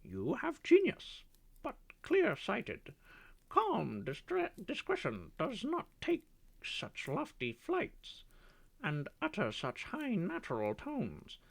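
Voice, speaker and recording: A woman's voice: medium pitch, huge pitch swings, slow, vocal fry, voice pushed up, exaggerated voice. A woman voicing a boastful, chattering male pet parrot with a smug, mocking manner and a squawked refrain.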